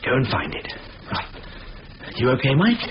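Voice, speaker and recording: An actor playing a bossy, exasperated leader of a burglary gang in a 1970s radio comedy thriller, giving terse orders. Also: male voice, mid-range voice, huge pitch swings, moderate pace, background noise. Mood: brisk, commanding. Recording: dull old radio recording, background music.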